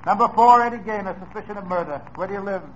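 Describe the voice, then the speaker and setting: A man playing a brisk lineup lieutenant. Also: high voice, a little hiss or hum, lightly acted. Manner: clipped, brisk questioning. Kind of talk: 1950s radio drama acting. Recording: muffled old radio broadcast.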